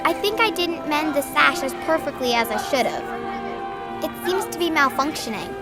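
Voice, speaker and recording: A woman's voice: sits high, expressive, moderate pace. A woman playing a plucky, loyal young girl who travels through time in a children's audio drama. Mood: matter-of-fact. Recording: loud music and outdoor crowd behind.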